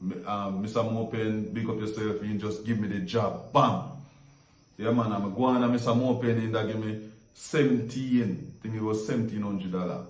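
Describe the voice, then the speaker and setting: A man's voice: on the low side, steady tone, moderate pace, roomy sound. Jamaican guy telling stories loose and rambly, sounds far off in a bouncy room. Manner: rambling, repeats words when searching. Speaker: host. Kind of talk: casual storytelling chat.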